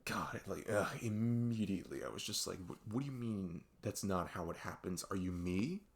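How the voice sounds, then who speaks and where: A man playing a best friend who confides about a fight with his parents in a casual, halting, rambling ASMR roleplay style. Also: sits low, animated. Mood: frustrated. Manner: halting, rambling, exasperated sighs.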